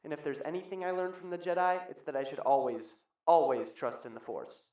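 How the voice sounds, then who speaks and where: An actor playing an uneasy, debt-ridden treasure hunter and lapsed Jedi, confiding a scripted sci-fi horror audio log in a conversational way. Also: male voice, fairly high pitch, expressive, brisk, warm tone, natural voice. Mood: uneasy, downcast. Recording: muffled, roomy, very noisy log recording.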